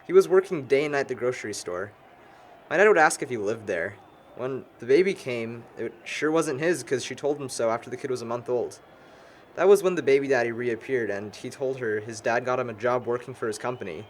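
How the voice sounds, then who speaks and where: A man's voice: mid-range voice, a little fast, a little hiss or hum, close to an everyday voice. A young American man playing a long-winded, dryly sarcastic know-it-all among friends in an audio drama. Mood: matter-of-fact. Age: young adult. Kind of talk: conversational audio drama acting.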